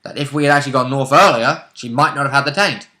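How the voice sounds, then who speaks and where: A man's voice: medium pitch, fast talker, pushed and bright, echoey room. A man chatting casually and bluntly as a player recapping a tabletop role-playing game, in an informal, sweary way.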